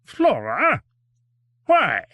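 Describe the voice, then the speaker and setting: A man voicing an anxious elderly doctor with high, agitated exclamations in an audiobook. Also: huge pitch swings, very slow, no echo, phone-quality sound, heavily acted. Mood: alarmed. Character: anxious elderly male doctor, fretful and fatherly. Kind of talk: audiobook character voice.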